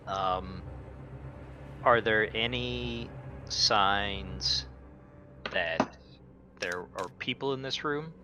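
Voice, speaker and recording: Deep, commanding man playing a tabletop game. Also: drawn-out words, pauses often, noisy background. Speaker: player in a tabletop roleplaying game. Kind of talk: improvised roleplay and table talk.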